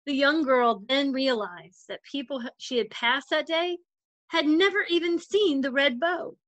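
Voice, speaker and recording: A woman's voice: sits high, measured pace, a bit bright, no room sound. A woman narrating a children's storybook as a volunteer storytime reader.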